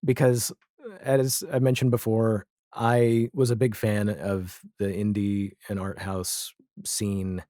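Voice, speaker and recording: Low-voiced American man, dry sound. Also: little pitch movement, slow, warm tone, dry recording. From Nashville area, US. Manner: wry, opinionated, digressive. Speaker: podcast guest, audio drama creator. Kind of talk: casual conversational interview.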